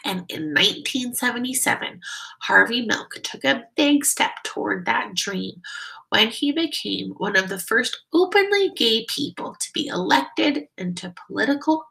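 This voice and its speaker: A woman kindergarten teacher giving a read-aloud lesson, explaining things simply and guiding young children with questions, with deliberate, emphatic phrasing. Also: fairly high pitch, sharp tone, low-quality recording.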